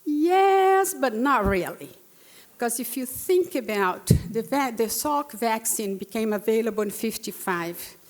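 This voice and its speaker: A woman's voice: fairly high pitch, animated, measured pace. A woman in her sixties from Brazil with a Brazilian Portuguese accent, telling a personal story as a panelist, earnestly, with fillers and restarts. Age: 60s. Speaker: panelist speaker. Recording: long hall reverb.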